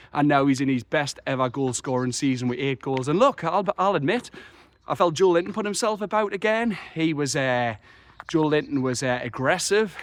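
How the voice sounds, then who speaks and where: A young man, croaky after a chest infection, talking passionately and rambling through a football match reaction. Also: a little high. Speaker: football fan vlogger. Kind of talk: excited solo match reaction.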